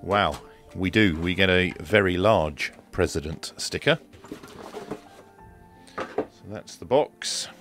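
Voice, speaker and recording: A man's voice: sits low, takes it slowly, pauses often, fairly bright tone, faint music behind. A middle-aged man presenting an equipment review in a small home studio, speaking into a desk microphone. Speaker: product reviewer and host. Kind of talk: radio equipment review narration. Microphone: close desk microphone.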